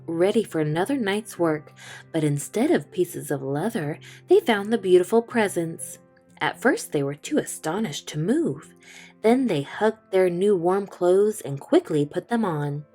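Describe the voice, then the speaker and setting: Woman storyteller for kids, mellow and gentle, soft music underneath. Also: medium pitch. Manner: slow, gentle and expressive. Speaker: children's book reader. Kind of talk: storytime read-aloud narration.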